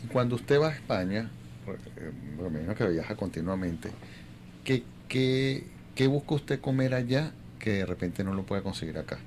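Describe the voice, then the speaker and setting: A man's voice: fairly low pitch, expressive, brisk, a little mellow, slight background noise. A man interviewing on a radio show, asking questions conversationally and tentatively, often repeating words as he forms them.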